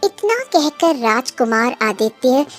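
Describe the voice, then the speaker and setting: A woman tells a scripted cartoon fairy tale for children. Her voice sits very high, and clear background music runs beneath the narration. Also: expressive, slow. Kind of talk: scripted children's cartoon storytelling, also voicing dialogue.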